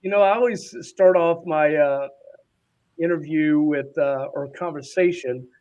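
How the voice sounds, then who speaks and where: A man hosting a casual conversational interview, speaking in an informal, halting and chatty way. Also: on the high side, darkish tone, lo-fi sound. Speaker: podcast host interviewer.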